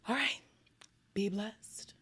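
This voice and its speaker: Woman streamer, casual live chat host, chatty and animated, slightly worn, hoarse voice. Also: medium pitch, slow. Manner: chatty, excitable, laughs often. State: losing her voice, a little hoarse. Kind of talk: casual livestream chat with viewers.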